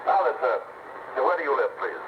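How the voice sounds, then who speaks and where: An actor playing an assertive, theatrical lawyer with an emphatic delivery in a 1950s radio drama, on a muffled, noisy recording. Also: male voice, mid-range voice, lively intonation, moderate pace, lightly acted.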